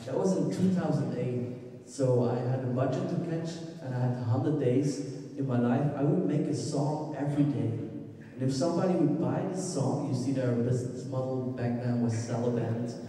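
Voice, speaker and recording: A man from Belgium with a Belgian Dutch accent speaking English as a second language, giving a halting stage talk about his music into a handheld mic. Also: medium pitch, mellow, recorded from a distance. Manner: halting, restarts, searches for words. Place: large dark auditorium stage. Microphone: handheld microphone. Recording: echoey hall, recorded from afar.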